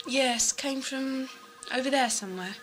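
A plucky young adventurer, played by a woman, talks in a bright, rather high British voice. She is practical and direct, a sci-fi audio drama companion with faint music behind her. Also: a little slow. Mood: concerned, curious. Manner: direct, matter-of-fact.

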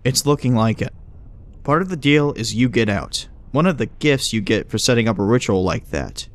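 A man's voice: medium pitch, animated, normal speed, close to an everyday voice. A man playing a smug, casual agent who explains things glibly in audio drama dialogue. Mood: measured, probing. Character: breezy, smug male agent who knows hidden things.

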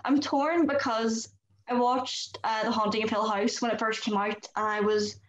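A young woman with a Northern Irish accent hosting a video-call panel from home, animated and self-deprecating. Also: on the high side, normal speed, highs cut off, a little hiss or hum. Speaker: host. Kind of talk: casual video call chat. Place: home room indoors. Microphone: laptop webcam on video call. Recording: roomy webcam audio.

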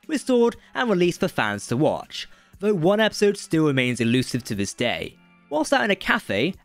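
Male video presenter for scripted explainers; high register, lots of melodic movement, dry studio-style voice-over. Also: no echo. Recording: dry voice-over with music underneath. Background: background music.

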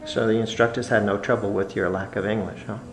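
A man's voice: fairly low pitch, steady tone, quick pace. A man interviewing an older woman, asking questions with friendly, encouraging prompts. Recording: roomy echo, faint music.

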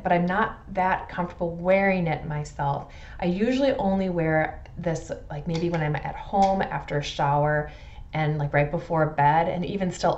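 A middle-aged woman giving a casual product review to camera in a measured, thoughtful way, stressing descriptive words. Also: low-pitched, a little mellow, dull audio. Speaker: fragrance reviewer. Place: indoors in a furnished room at home.

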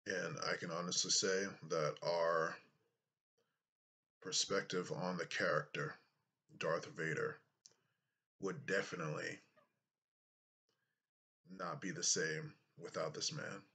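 Deep-voiced man, slow and unhurried. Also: steady tone, vocal fry, pushed and bright. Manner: unhurried, thoughtful, hesitant with fillers. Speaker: YouTuber. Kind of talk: casual vlog commentary. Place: small room indoors.

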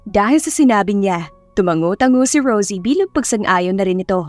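A woman's voice: medium pitch, expressive, a little fast, a little mellow, no room sound, faint music behind. A woman reading a scripted romance story as an audiobook narrator.

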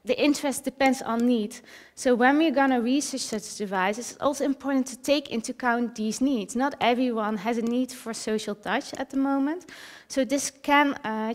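Earnest young woman presenting research on stage. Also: fairly high pitch. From Netherlands. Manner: earnest, with frequent fillers and restarts. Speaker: researcher presenting at a talk night. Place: stage in a theater hall.

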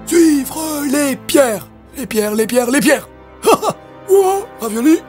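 A crazed old man from an audio story, babbling and repeating himself. The voice is pitched way up into a giggly shriek, over light music. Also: expressive, slow, a little mellow, full character voice. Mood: manic raving.

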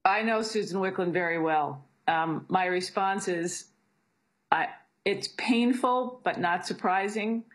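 A woman's voice: on the low side, takes it slowly, rough recording. A middle-aged woman, a physician assistant, speaking earnestly in a news interview, often restarting her sentences. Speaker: physician assistant, abortion provider.

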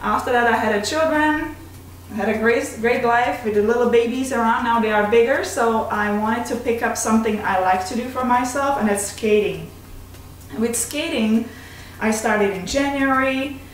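A woman's voice: fairly high pitch, moderate pace. Czech lady vlogger in her 40s, candid chat, far from the mic, lots of room echo. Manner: candid, slightly broken grammar. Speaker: vlog host. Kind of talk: casual chat. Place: home kitchen, indoors. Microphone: desk mic on tripod, camera at a distance. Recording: very echoey kitchen, distant mic, faint hiss.

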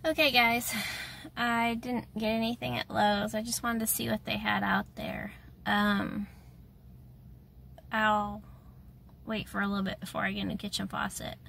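A woman's voice: mid-range voice, little pitch movement, unhurried, gravelly, fairly bright tone, slight background noise. A woman, chatting casually to a phone held at arm's length, congested and a little nasal, tired, rambling with lots of fillers. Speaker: vlogger. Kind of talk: casual chat to camera. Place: home kitchen and parked car.

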